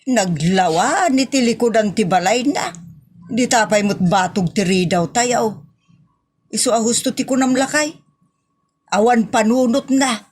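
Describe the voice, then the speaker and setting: A woman's voice: a little high, a little slow. A woman playing a gossipy, scheming village wife and aunt in a comic audio drama, complaining and hesitant, with a Filipino Ilocano accent.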